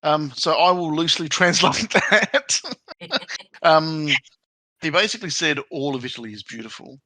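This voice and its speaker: Bright-voiced man chatting. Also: on the high side, moderate pace, no echo. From Australia. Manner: loose and chatty, leans on "you know" and "um". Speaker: podcast host. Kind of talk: casual chat.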